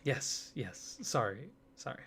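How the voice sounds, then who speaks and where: Soft-spoken hesitant young man, slow. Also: medium pitch, animated, vocal fry, slight background noise. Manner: hesitant, flustered, many fillers and restarts; apologetic, awkward laughs. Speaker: ASMR roleplayer. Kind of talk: soft-spoken ASMR roleplay.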